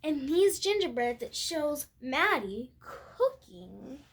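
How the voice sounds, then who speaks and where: A woman's voice: high-pitched, very animated, slow. A young girl reads a picture book aloud expressively, stumbling now and then and correcting herself, with asides to viewers. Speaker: storybook reader.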